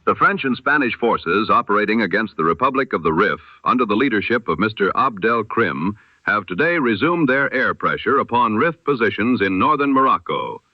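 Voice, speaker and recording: A man's voice: fairly low pitch, expressive, normal speed, few pauses, no room sound. A man reading a scripted news bulletin as a formal, solemn announcer in the style of 1920s radio, through an old radio filter. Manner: formal, solemn, rhetorical delivery. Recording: dull lo-fi old radio sound.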